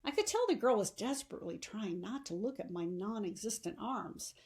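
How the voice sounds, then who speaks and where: A woman narrates a story for young readers in the manner of an audiobook. Her delivery is expressive, with a medium-pitched voice that carries the first-person narration. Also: fairly quick. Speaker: teacher reading a novel aloud.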